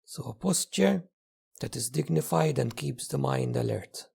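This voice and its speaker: A man leading a guided meditation as a teacher, speaking gently in short phrases with long pauses. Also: mid-range voice, lively intonation, creaky, breathy edge. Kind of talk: guided meditation, slow spoken instruction.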